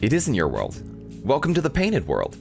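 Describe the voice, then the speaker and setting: A man's voice: medium pitch, wildly swooping pitch, normal speed, gravelly, faint music behind, voice pushed up. A man voicing a cheeky, easily miffed cartoon girl from a visual novel. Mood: welcoming. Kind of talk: visual novel read-aloud.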